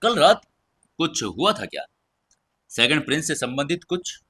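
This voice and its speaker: A man's voice: fairly high pitch, sing-song, unhurried, sharp tone, breathy edge, full character voice. A man voicing a cold, commanding teenage noble girl in an audio story, with an indifferent, dismissive manner. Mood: cool questioning. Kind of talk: audio story character dialogue.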